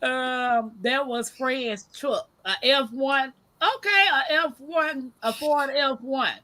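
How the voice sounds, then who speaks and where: A woman hosting a casual live-stream chat, talking loudly and laughing often as she tells stories. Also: takes it slowly, dry recording, poor audio. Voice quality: says she has a deep voice. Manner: loud, animated storyteller who laughs often. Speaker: livestream host.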